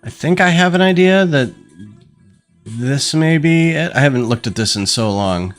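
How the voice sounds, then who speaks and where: A man's voice: a little high, animated, moderate pace, soft background music. A man with a stuffed-up nose chatting casually on a livestream at his home desk, thinking aloud with lots of ums. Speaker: LEGO designer streaming. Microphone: desk microphone.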